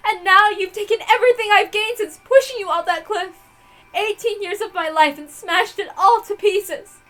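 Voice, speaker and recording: A woman's voice: very high voice, moderate pace, soft background music. A woman voicing a proud, guilt-ridden mother confessing murder in a dramatic, emotional visual novel read-aloud. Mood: bitter, impassioned. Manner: theatrical, emotional, swinging from hushed to impassioned.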